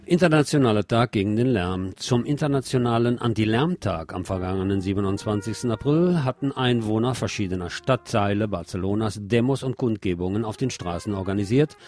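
A man with a fairly low voice presents the news on radio. He reads scripted reports as narration, with faint guitar music playing behind him. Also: a little fast, hardly any pauses, darkish tone.

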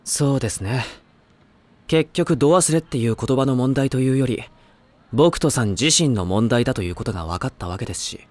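A calm, polite teenage boy with dry, deadpan wit, played by an actor in an anime drama CD. His voice sits in a plain middle register. Music runs beneath. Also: medium pitch, moderate pace, dry recording, close to an everyday voice.

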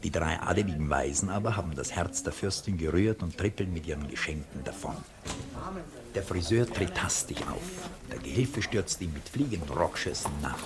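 A man calmly reading the stage directions as narrator of a radio drama. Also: deep voice, crowd noise. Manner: calm, measured, sometimes hushed.

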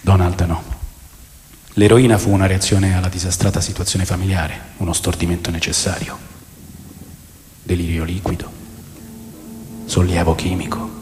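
Low-voiced brooding man in cavernous echo, acted. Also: little pitch movement, normal speed, long pauses, a little mellow, lightly acted. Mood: mournful. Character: brooding middle-class man mourning his lost childhood friend. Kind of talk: literary radio drama monologue. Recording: echoey long reverb, faint background noise.

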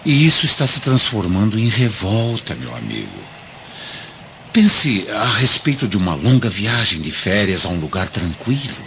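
A man playing a sensible Roman senator and concerned older friend in a radio drama, with an expressive, emphatic delivery. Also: fairly low pitch, normal speed, vocal fry, full character voice. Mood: reassuring. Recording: dull, noisy lo-fi radio drama recording.

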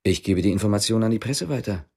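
A husky, determined male investigator. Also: on the low side, animated, quick pace, mellow. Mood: firm, accusing. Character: determined East German male investigator, guarded and grave. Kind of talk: German radio drama acting.

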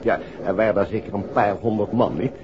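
An actor playing a courteous, sociable airline passenger in a 1960s radio drama. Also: male voice, on the low side, a little fast, natural voice. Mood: sociable, polite. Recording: dull old recording, clear background noise. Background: noisy aircraft cabin ambience.

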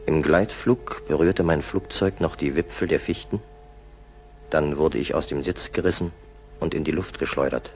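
A man's voice: rumbling low, moderate pace, a little mellow. A man acting an earnest, determined Soviet fighter pilot lieutenant in a 1960s German radio drama. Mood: grave, recounting. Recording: 1960s school radio tape, faint hiss.

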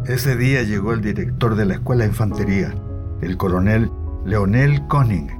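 An actor playing a former prisoner who gives reflective, colloquial first-person testimony in a radio drama, with a Chilean accent. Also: male voice, on the low side, expressive, normal speed, natural voice. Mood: reflective, recalling. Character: male former prisoner soberly recalling his detention. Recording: music behind the voice.

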